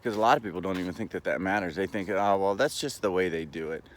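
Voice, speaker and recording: A man talking in a casual, rambling monologue, halting but earnest and opinionated, as a vlogger. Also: sits low.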